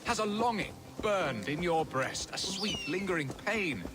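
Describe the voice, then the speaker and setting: A man's voice: high voice, animated, unhurried. A wistful dramatic saxon nobleman in a game, voice swings all over, flowery and sorry for himself, music under it. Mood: lovelorn, self-pitying. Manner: theatrical, florid, wistful. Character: self-pitying, romantic, dithering Saxon lord of Essex. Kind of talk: video game drama acting.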